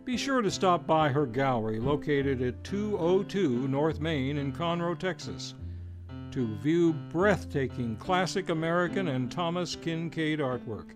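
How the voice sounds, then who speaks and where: A man with a fairly high voice announces in classic old-time radio style. His delivery is formal and theatrical, leaning on key words with deliberate emphasis. Guitar music plays clearly behind him. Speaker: announcer and host. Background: plucked guitar music.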